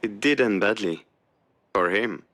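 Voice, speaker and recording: A man's voice: fairly low pitch, measured pace, fairly bright tone. An actor playing a wry, devoted male partner in a narrative video game, dry and conversational in a naturalistic style. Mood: dry, teasing.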